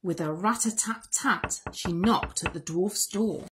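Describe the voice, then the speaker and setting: Slow-paced woman reading a children's story. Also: on the low side, noisy background. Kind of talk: expressive read-aloud story narration. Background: music.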